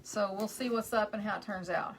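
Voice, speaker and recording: A woman's voice: medium pitch, fairly even intonation, a little fast, slight background noise. Chatty West Texas woman crafting live. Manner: chatty, greets viewers by name between steps. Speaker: wreath maker hosting a live stream. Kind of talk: casual chat while crafting.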